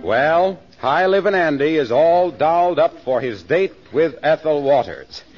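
A man's voice: a little high, lively intonation, a little slow, soft background music. A man announcing in scripted narration for a 1940s radio show. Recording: muffled old radio recording.

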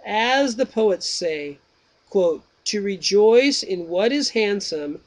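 A man reads out Menon, a keen young student answering his teacher. The delivery is slow and earnest. The voice is high for a man. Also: lively intonation. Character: Menon, eager young male pupil of a philosopher.

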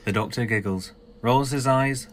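A man's voice: mid-range voice, normal speed, slight background noise, close to an everyday voice. A man reading scripted narration as the narrator of an audio drama.

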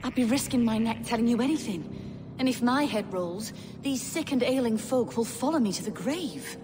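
A woman's voice: fairly high pitch, lively intonation, moderate pace, noisy background, lightly acted. A woman playing a careworn medieval healer sister in a video game, earnest and careful in her speech. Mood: frightened, wary. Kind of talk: video game cutscene acting. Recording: reverberant hall sound under music.

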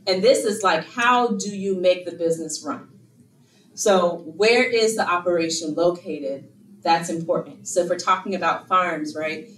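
A woman's voice: on the low side, echoey room, a little hiss or hum. A woman presenting a workshop in a meeting room in a conversational way, full of rhetorical questions and fillers, recorded from far off. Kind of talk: casual workshop lecture. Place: meeting room, in front of a screen. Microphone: far room camera.

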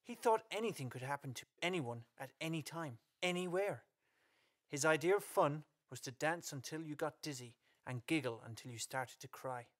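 A man's voice: fairly high pitch, animated, moderate pace. A man narrating a story in a scripted radio play.

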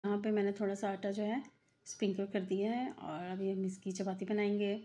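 A woman's voice: mid-range voice, little pitch movement, brisk, warm tone. A middle-aged woman, giving a chatty, filler-heavy voice-over for her home cooking video. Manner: chatty, repetitive, many fillers. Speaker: cooking channel host. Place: home kitchen.

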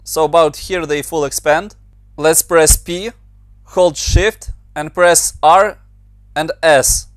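Ukrainian-accented male tutor, a bit higher voice, very dry close mic, patient step-by-step walkthrough. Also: fairly even intonation, slow pace, no room sound. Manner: plain, step-by-step instructions with slightly non-native grammar. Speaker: motion designer and tutorial maker. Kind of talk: screen-recorded software tutorial.

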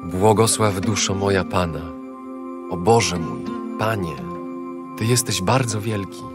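A man with a fairly low voice recites scripture as prayer. He is reverent and very unhurried, over prominent music. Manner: reverent, measured. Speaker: psalm reader. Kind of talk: prayerful dramatic psalm recitation. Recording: studio voice over loud music.

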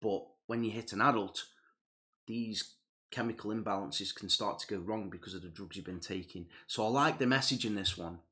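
Chatty young man, low voice. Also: normal speed, bright, forceful tone. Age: young adult. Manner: enthusiastic, chatty, drops into a low earnest tone when serious. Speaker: music reaction host. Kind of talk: casual reaction commentary. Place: small indoor room with gaming chair and purple lights. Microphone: headset.

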